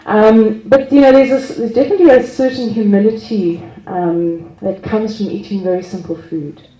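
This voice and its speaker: A woman's voice: mid-range voice, normal speed, mellow, reverberant, poor audio. Laughing woman sharing at a gathering. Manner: self-deprecating, laughs often, many restarts. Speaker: group speaker sharing experience. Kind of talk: casual personal sharing at a gathering. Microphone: handheld microphone.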